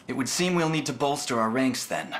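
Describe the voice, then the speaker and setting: An actor playing a resolute young prince who speaks formally in a fantasy video game. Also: male voice, medium pitch, animated, brisk, a bit bright, natural voice. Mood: earnest. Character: earnest, resolute young male prince and leader. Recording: game music clearly behind.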